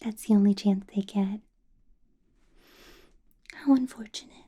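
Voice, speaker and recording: A woman voicing a possessive ancient female vampire boss in a whispered ASMR roleplay, soft and doting with a quiet menace, close to the microphone. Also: medium pitch, fairly even intonation, a little slow, breathy edge. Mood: sweetly menacing.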